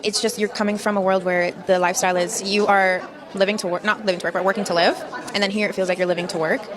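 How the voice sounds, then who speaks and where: A woman's voice: medium pitch, flat delivery, fast talker. A woman from Jamaica, an actress, reflecting fluently on her life in an interview. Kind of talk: interview answers. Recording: crowd chatter behind. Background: crowd chatter.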